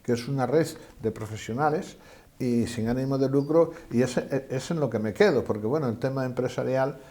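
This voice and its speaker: A man's voice: medium pitch, normal speed, mellow. An older retired man from Asturias, a guest in an interview, who talks in a modest, hesitant way and makes light of himself. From Asturias, Spain. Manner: modest, hesitant, self-deprecating. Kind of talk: conversational interview.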